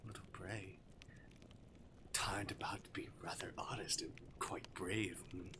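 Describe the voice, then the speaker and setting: A man's voice: lowish voice, moderate pace, lightly acted. A man voicing a playful, theatrical arctic demon from a visual novel, with mock-courteous, teasing menace. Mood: amused, flustered. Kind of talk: visual novel character voice acting. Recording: clear background noise.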